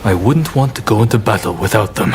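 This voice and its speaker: A man's voice: lowish voice, lively intonation, a little fast, a little hiss or hum, natural voice. Composed, guarded samurai master played by a man.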